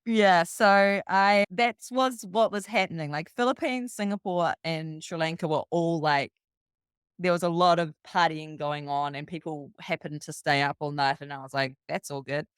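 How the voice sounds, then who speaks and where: A woman from New Zealand with a New Zealand accent, guesting on a podcast and telling a long, brisk travel story full of quoted dialogue. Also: low-pitched, fairly even intonation, smooth, no room sound, studio quality. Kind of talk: casual storytelling.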